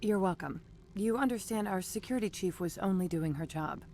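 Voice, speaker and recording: A woman's voice: mid-range voice, fairly quick, warm tone, slight background noise, close to an everyday voice. An actress playing a polished, businesslike female executive assistant in a video game, formal and composed. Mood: courteous, businesslike.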